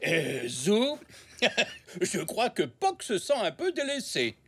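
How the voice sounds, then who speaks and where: A man playing a kindly, curious old grandfather zebra in a French cartoon dub, with expressive, drawn-out delivery. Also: high voice, background noise, full character voice. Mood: cheerful, warm.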